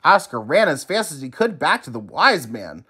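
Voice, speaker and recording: A man reading a children's story aloud in an expressive, emphatic teacher's manner. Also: fairly high pitch, normal speed, breathy edge.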